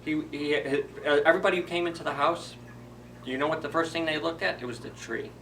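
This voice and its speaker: Male children's storyteller, fairly high bright voice, conversational retelling, slightly distant recording with faint noise. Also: a little fast. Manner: simple repeated phrasing with questions to children. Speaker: library storyteller.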